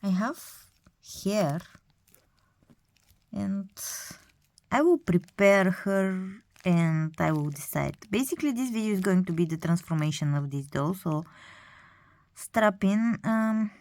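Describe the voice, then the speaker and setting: A woman's voice: medium pitch, animated, takes it slowly, long pauses, a little mellow, dry recording. A woman in her thirties with a Bulgarian accent, chatting casually about her doll collection, with many hesitant fillers. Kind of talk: casual hobby vlog narration.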